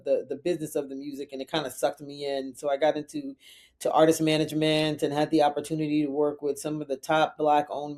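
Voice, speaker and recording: A woman's voice: low-pitched, mellow. A middle-aged woman from Liberia and New York City answering interview questions conversationally, emphatically, often checking in with "right?". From Liberia and the United States, raised in New York City. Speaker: podcast guest, community executive.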